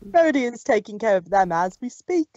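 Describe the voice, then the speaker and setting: A woman's voice: medium pitch, big pitch swings, a little fast, a little mellow, no room sound, muffled recording, lightly acted. A woman voicing a perky, eager young female pony in a cartoon fan story.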